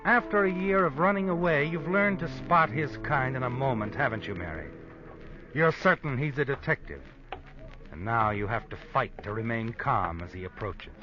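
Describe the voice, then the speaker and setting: An actor playing a sardonic, all-knowing American narrator of an old radio suspense drama, insinuating and dramatic. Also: male voice, medium pitch, normal speed, close to an everyday voice. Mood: tense, knowing. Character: sardonic, omniscient male storyteller of suspense tales. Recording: muffled old radio with music. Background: music.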